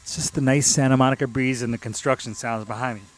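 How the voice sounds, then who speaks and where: A man's voice: medium pitch, steady tone, quick pace, a little hiss or hum. A man in his fifties hosting a music radio show, talking casually and loosely as he thinks aloud between tracks. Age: 50s. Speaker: radio DJ host.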